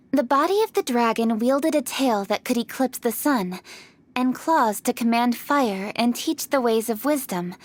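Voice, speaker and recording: A woman playing a poised young noblewoman in a video game, formal, businesslike and determined. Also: a little high. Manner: formal, measured, polite.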